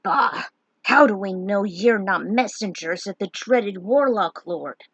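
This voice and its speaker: A watchful, formal castle guard from a fantasy tale as a woman narrator plays it. The speech is old-fashioned and somewhat husky. The sound is dry and close. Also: mid-range voice, slow pace, a bit bright, dry recording, muffled recording. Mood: suspicious.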